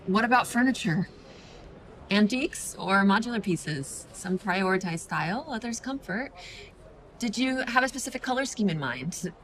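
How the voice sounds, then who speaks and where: A woman's voice: mid-range voice, lively intonation, normal speed, fairly bright tone, natural voice. An actress playing a nervous, earnest woman interior designer who rambles awkwardly in an audio romance drama. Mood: nervous, eager.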